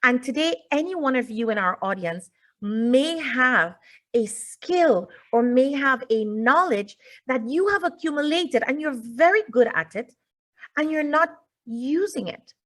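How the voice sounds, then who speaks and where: A woman, a native English speaker, giving an informal online lecture as a teacher, explaining things with deliberate pauses and emphasis. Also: high voice, animated, a bit bright. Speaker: webinar presenter, teacher. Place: indoors, online video call.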